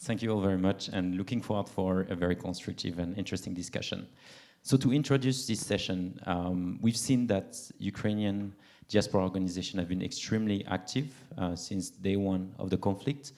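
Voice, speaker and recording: A man's voice: sits low, fairly even intonation, fairly quick, darkish tone. A man in his thirties moderating a conference panel on a handheld mic, hesitant with many fillers and restarts. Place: large conference hall.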